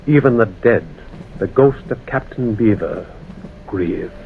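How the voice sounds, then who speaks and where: A man's voice: fairly low pitch, expressive, takes it slowly, vocal fry, heavily acted. A man acting a haunted, anguished witness narrating a ghostly tragedy in theatrical old-time radio horror style. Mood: mournful. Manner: theatrical, declamatory. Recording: tinny, narrow old radio recording, faint noise.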